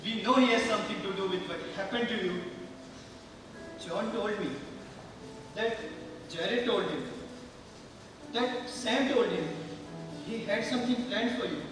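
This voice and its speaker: A man acting in an amateur stage play as an earnest teenage friend, speaking haltingly and deliberately with pauses. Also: high-pitched, over music. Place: large indoor theater hall stage. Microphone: distant camera or room microphone. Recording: echoey hall from far off.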